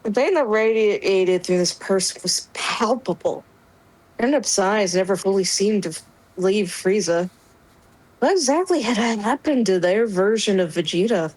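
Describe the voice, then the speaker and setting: A woman's voice: medium pitch, expressive, a little slow, a little mellow, low-quality recording. A woman reading fiction aloud and chatting casually as part of a group dramatic reading. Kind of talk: story narration and casual chat.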